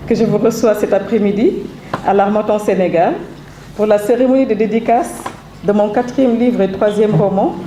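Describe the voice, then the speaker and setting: A woman's voice: mid-range voice, fairly even intonation, mellow. Woman, stuffy from a cold, formal thank-yous with lots of ums, echoey hall, noisy. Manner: measured, formal, with hesitant fillers. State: slightly congested from a cold. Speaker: author presenting her book. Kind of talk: formal thank-you speech. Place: event hall. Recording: roomy hall with background noise.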